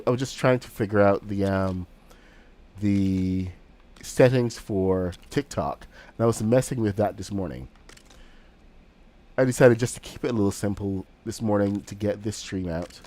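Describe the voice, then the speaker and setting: A man's voice: lowish voice, a little mellow. Rambling male streamer with a cold. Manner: rambling, with frequent fillers and restarts. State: has a cold, sniffling. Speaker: game streamer. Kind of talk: casual live-stream commentary while building.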